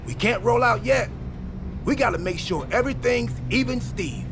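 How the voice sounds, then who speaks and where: An actor playing a goofy, scheming wrestler who rambles earnestly in a video game cutscene. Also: male voice, very high voice, lively intonation, moderate pace, vocal fry, background noise, heavily acted. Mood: scheming, pleased. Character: goofy scheming male wrestler, cheerfully muddle-headed time traveler. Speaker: wrestler character in a game story mode. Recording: background music under the voice.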